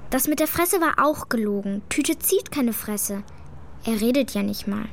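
A woman's voice: on the high side, lively intonation, moderate pace, vocal fry, slight background noise. A female performer playing an earnest, frank schoolgirl in a children's radio play, speaking in a matter-of-fact, childlike way. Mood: frank, defensive.

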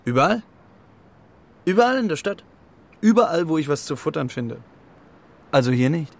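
An actor playing a cheeky, streetwise pigeon in a children's radio play, with a gravelly voice and a sardonic, colloquial swagger. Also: male voice, on the high side, very animated, moderate pace. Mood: casual.

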